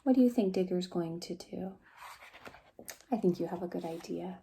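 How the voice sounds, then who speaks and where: Gentle, mellow woman reading to young children. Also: fairly low pitch, moderate pace, gravelly. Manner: gentle, asks the listening children questions. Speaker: preschool story time reader. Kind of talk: expressive picture-book read-aloud for young children.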